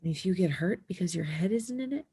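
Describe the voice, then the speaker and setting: A woman's voice: lowish voice, animated, fast, dark tone, husky, full character voice. A woman playing an earnest teenage girl snowboarder in love at a screenplay table read. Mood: worried.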